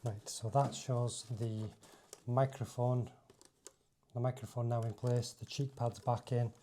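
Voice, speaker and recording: Mellow-voiced man casually talking through a task. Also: mid-range voice, steady tone, unhurried, slight background noise. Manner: informal, thinking aloud while working. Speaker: motovlogger presenting a fitting tutorial. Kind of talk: casual hands-on demonstration, talking through each step.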